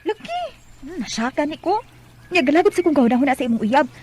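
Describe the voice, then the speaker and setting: Hesitant young Cebuano girl confidante, high voice, radio drama. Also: sing-song, fast talker, darkish tone, faint music behind. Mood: hesitant, confiding. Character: young female cousin, apologetic, hesitant confidante. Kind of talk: Bisaya radio drama acting.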